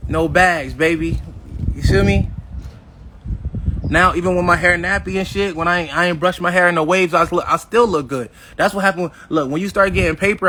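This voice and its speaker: A swaggering man in his fifties talks straight to his audience in a loose, boastful manner. His voice sits a little high for a man. A faint hiss runs under the recording. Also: fairly bright tone. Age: 50s. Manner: swaggering, addresses viewers directly as y'all; acts out past conversations in quotes. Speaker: vlogger. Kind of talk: casual boastful livestream talk.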